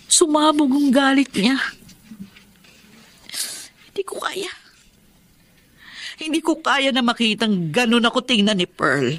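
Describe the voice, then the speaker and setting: A woman's voice: fairly high pitch, fairly bright tone, dry recording. An actress playing a heartbroken middle-aged woman in a radio drama, speaking in an emotional, pleading way. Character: heartbroken woman Nene, former mistress. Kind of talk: Tagalog radio drama acting.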